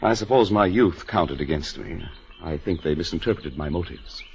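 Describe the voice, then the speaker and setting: A haughty, pompous society gentleman in a 1940s radio play. His low voice picks over long, precise phrases with fussy care. The recording is old and dull. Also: lively intonation, normal speed, breathy edge, full character voice. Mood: aggrieved, fussy. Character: haughty, fussy youngish male socialite stepfather.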